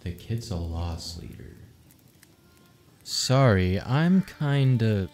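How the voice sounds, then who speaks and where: Man acting a hapless young wanderer, slow-paced. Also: lowish voice, sing-song, a little mellow, slight background noise, heavily acted. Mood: weary, sheepish. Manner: hesitant, stammering, slangy. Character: hapless, earnest young male wanderer and failed bandit. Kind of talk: manga comic dub acting. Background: music under the dialogue.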